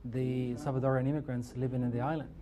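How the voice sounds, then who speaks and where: A man's voice: medium pitch, flat delivery, fast, warm tone. A man, a program developer, explaining his work in an interview with some hesitations.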